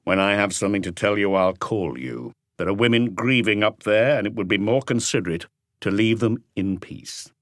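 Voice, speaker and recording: A man voicing a male chief inspector in an audiobook, terse and deliberate as he puts probing questions. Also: lowish voice, animated, dry recording, studio quality, close to an everyday voice. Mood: firm, curt. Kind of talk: audiobook character dialogue.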